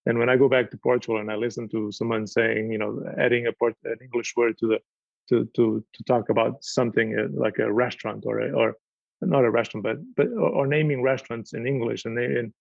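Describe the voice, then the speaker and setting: A man with a gravelly, husky voice speaks with passion on a panel, often repeating himself as he finds his words. The sound is dry and dull. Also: mid-range voice, steady tone, a little fast, no echo. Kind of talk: panel discussion.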